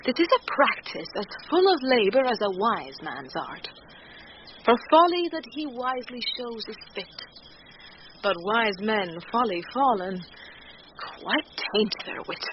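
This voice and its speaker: A woman's voice: on the high side, big pitch swings, unhurried. A British woman playing a witty, courteous heroine disguised as a young male page, speaking formal Shakespearean verse in a radio drama. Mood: reflective. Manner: formal, poised verse delivery. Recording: muffled 1990s radio broadcast, clear noise.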